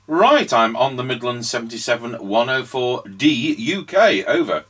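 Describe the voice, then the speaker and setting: A man's voice: medium pitch, sing-song, normal speed, a bit bright, slightly rough. A man giving casual demonstration commentary as a radio repair host and operator.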